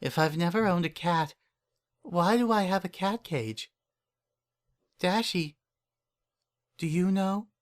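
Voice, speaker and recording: A man's voice: high-pitched, slow, long pauses. A man playing an unhinged, paranoid male narrator in a horror fan fiction, speaking earnestly in childlike phrases. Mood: dazed, confused. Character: unhinged, obsessive, paranoid male narrator clinging to his pet. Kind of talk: first-person dramatic horror fan fiction reading.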